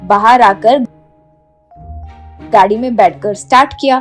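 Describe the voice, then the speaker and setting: A woman's voice: a little high, measured pace, faint music behind. A woman storyteller delivering scripted narration of a story.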